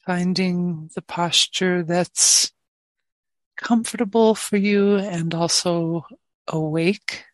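A woman teaching meditation, gently guiding listeners with long, unhurried pauses. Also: on the low side, fairly even intonation, no room sound. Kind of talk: guided meditation.

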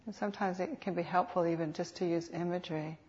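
A woman teaching guided meditation in an unhurried, soothing manner with reflective pauses, on a dull lo-fi recording. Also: on the low side, steady tone, darkish tone. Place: meditation center. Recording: dull, lo-fi live talk recording.

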